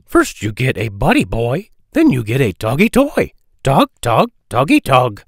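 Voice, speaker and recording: A man reading children's rhyming poems aloud in a playful, deliberate, sing-song storybook style. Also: medium pitch, huge pitch swings, dry recording, clean studio sound. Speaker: children's book read-aloud narrator.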